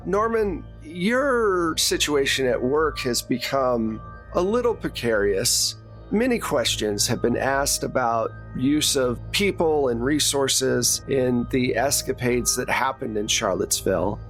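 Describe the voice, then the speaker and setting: Male game master, unhurried delivery, conversational and explanatory narration of a tabletop game, light music underneath. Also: mid-range voice.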